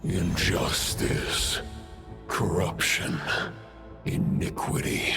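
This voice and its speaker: An actor voicing an ancient male wolf spirit, deep and gravelly, speaking slowly and solemnly as he judges a challenger. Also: flat delivery, music in the background, full character voice. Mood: stern. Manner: slow, solemn, commanding.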